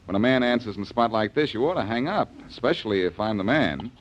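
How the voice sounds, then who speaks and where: A man's voice: fairly low pitch, lively intonation, quick pace. A man playing a cocky, wisecracking private detective in a 1940s radio drama, sardonic and needling. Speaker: detective lead. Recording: dull old radio recording.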